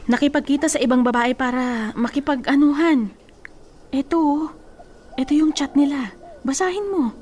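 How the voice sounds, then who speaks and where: An actress playing a blunt, bossy female cousin in a radio drama, teasing and scolding with a sharp tongue. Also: sits high, normal speed, pauses often, natural voice.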